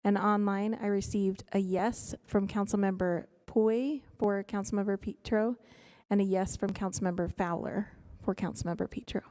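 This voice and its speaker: A soft, mellow woman's voice reads vote tallies at a council meeting. She speaks carefully and formally, name by name. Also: medium pitch, fairly even intonation, moderate pace, few pauses. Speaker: council staff reading votes.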